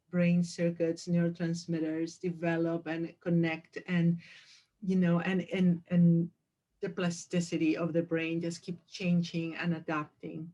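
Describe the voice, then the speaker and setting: A woman from Peru who is a sleep physician, speaking over a video call in a gracious, measured way. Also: on the low side, dark tone, highs cut off.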